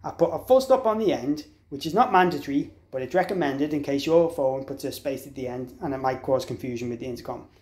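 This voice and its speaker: A man's voice: mid-range voice, fairly quick, few pauses, darkish tone. A man presenting an informal, unscripted product tutorial, explaining step by step and spelling out letters and digits. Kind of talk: instructional walkthrough, informal and unscripted. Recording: echoey, roomy sound.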